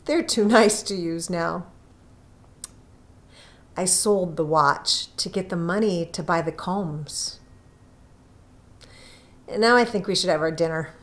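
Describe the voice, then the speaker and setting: A woman voicing a gentle, earnest young husband in a storybook reading, calm and measured. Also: on the low side.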